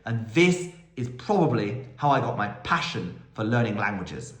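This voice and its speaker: A man's voice: medium pitch, very animated, moderate pace, fairly bright tone. Enthusiastic man in an echoey room. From United Kingdom. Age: 30s. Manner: enthusiastic, rambling with tangents. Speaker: language school owner and polyglot vlogger. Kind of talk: casual Q&A monologue.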